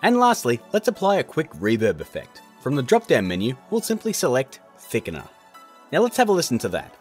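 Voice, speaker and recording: A man hosting a software tutorial in a casual, chatty, jokey narrating style. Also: mid-range voice, huge pitch swings, brisk, breathy edge, faint music behind. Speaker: tutorial host.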